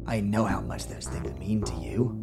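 A gruff, sardonic space bounty hunter played by a man speaks in a low voice with dramatic weight. It is sci-fi audio drama, with music loud beneath every line. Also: moderate pace, close to an everyday voice. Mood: accusing.

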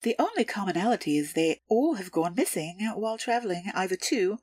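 A woman playing a calculating, deferential underworld information broker in an audio drama, with formal, measured, precise delivery. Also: mid-range voice, animated, darkish tone, no room sound, natural voice. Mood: businesslike.

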